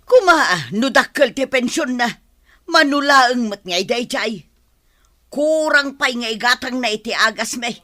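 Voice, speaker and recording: A woman's voice: fairly high pitch, lively intonation, a little slow, sharp tone, no room sound, studio quality. A woman playing an older, incredulous mother who grumbles about money in a radio drama. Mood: exasperated. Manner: complaining, incredulous. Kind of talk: Ilocano radio drama acting.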